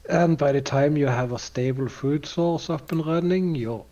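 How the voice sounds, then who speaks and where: A man co-streaming a game, explaining at length in a calm, measured way. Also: a little high, lo-fi sound. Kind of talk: casual chat while gaming.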